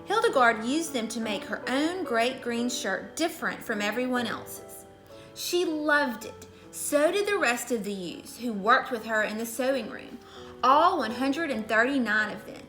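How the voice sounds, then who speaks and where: Woman, high voice, children's story narration, echoey room, music underneath. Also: expressive, measured pace, pushed and bright. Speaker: story reader.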